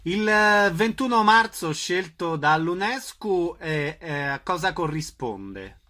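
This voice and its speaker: Bright-voiced man hosting an interview. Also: high voice, lively intonation, takes it slowly. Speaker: radio host interviewer. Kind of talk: conversational radio interview.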